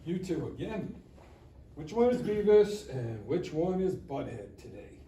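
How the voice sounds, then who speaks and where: An actor playing a mocking, self-important school officer in a live comedy table read. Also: male voice, a little high, sing-song, measured pace, warm tone. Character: short, officious wannabe-cop school officer, thirty-eight. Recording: echoey room, distant mic, faint noise.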